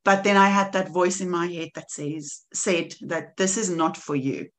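South african woman, 40s, earnest, lowish voice, easy laughs. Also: fairly even intonation, moderate pace. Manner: earnest and reflective, laughs easily. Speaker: podcast guest. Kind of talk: personal testimony in conversation.

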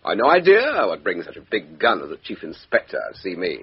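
An actor playing an offhand, evasive male former army major who is a con man, in a 1950s radio drama, with a raspy, husky voice. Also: deep voice, wildly swooping pitch, fairly quick, full character voice. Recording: dull old radio broadcast.

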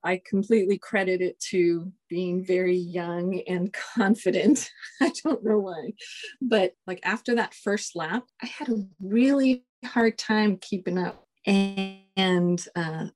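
A woman in her forties whose first language is Spanish, a colonel telling stories in a casual interview, relaxed and chuckling often. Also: medium pitch, takes it slowly. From United States. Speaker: guest, Air Force colonel.

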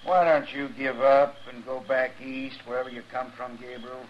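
An actor playing a mean, sly old homesteader with rustic folksy talk in a radio western. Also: male voice, medium pitch, steady tone, normal speed, close to an everyday voice. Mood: menacing. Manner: rustic folksy drawling grammar. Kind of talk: 1950s radio western acting. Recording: vintage radio, dull, faint noise.

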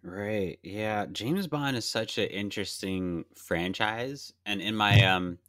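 Deep-voiced young man, chatty podcaster. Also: slow, fairly bright tone, dry recording, studio quality. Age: 20s. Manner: explains at length, reacts to a movie while talking. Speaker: podcast host. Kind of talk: casual podcast chat.

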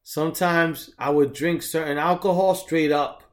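A man in his thirties talks loosely and reflectively on a podcast, cursing casually as he tells stories. His voice sits a little higher than most men's. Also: fairly even intonation, a little slow. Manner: laid-back, swearing, reflective storytelling. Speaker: podcast co-host. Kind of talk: casual chat.

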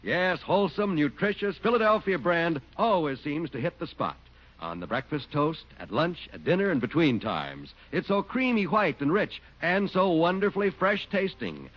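A man announcing sponsor ads and credits for a 1940s radio show with a smooth, polished delivery. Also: fairly high pitch, sing-song, normal speed. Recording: dull 1940s radio broadcast with music.

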